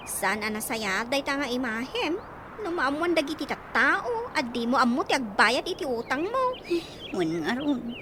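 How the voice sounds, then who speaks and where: A woman's voice: sits high, pushed and bright, slight background noise, exaggerated voice. A woman playing a defensive schoolteacher who owes money, speaking in a radio drama.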